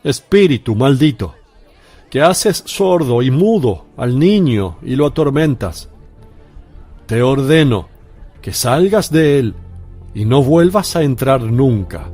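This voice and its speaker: A man performs a majestic holy teacher in a radio play. He declaims slowly and solemnly with full authority, in a dark, mellow tone over a faint crowd murmur. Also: mid-range voice, animated, soft background music. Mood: commanding. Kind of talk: religious radio drama acting.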